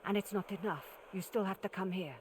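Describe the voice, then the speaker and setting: A woman playing a calm, cryptic, unyielding older mentor in a cinematic video game, speaking in a measured, grave way. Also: medium pitch, a little hiss or hum, natural voice. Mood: defiant, reproachful.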